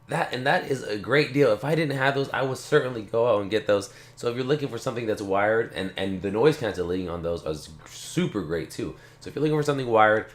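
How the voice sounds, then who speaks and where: Chill guy talking tech, kinda low voice, little cough, rambles on, echoey room. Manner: rambling, relaxed, repeats himself. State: slight cough. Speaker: tech channel host. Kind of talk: casual unboxing talk to camera.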